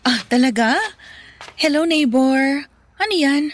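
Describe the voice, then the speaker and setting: A woman's voice: high-pitched, slow pace. An actress playing a polite, proud middle-aged neighbour lady in a radio drama, mixing in English phrases. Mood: gracious. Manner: gracious, composed, English phrases mixed in. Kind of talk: Tagalog radio drama acting.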